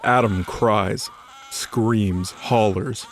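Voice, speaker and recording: A man's voice: lowish voice, steady tone, very slow, a little hiss or hum. A man narrating a scripted story in a conversational, wry way.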